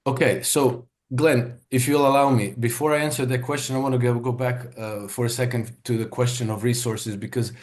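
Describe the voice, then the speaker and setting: A man's voice: mid-range voice, steady tone, a little fast, few pauses, darkish tone. A man who grew up in Croatia, a market analyst guest, explaining his views in an interview with frequent fillers and emphatic stresses. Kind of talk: interview conversation.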